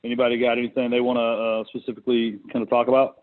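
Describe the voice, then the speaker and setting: A man's voice: medium pitch, monotone, racing. Man on a narrow, phone-like conference line. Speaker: conference call host, real estate investor. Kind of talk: casual unscripted business talk. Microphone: conference call connection.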